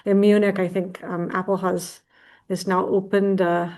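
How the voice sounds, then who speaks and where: A woman's voice: mid-range voice, flat delivery, moderate pace, darkish tone. American woman professor, chatty presenter on a video call, easygoing tone. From United States. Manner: chatty, jokes and laughs lightly. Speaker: professor presenting a degree program. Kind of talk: online info session presentation.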